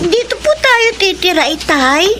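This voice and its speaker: A woman's voice: extremely high pitch, sing-song, normal speed. A woman voicing a curious, polite young child in a radio drama. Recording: loud background noise and clicks.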